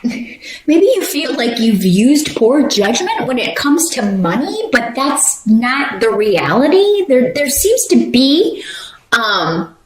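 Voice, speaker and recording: A woman's voice: sits high, big pitch swings, slow pace, reverberant, low-quality recording. A woman giving a casual tarot reading, rambling with many fillers and restarts. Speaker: tarot reader.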